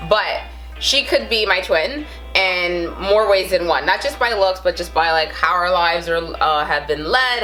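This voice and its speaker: A woman's voice: lowish voice, bright, forceful tone, low-quality recording. A woman in her thirties with a Brooklyn accent, chatting casually to camera with lots of fillers and rambling asides. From the Bronx, New York. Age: 30s. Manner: rambling, chatty, with frequent fillers and self-amused laughs. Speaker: vlogger.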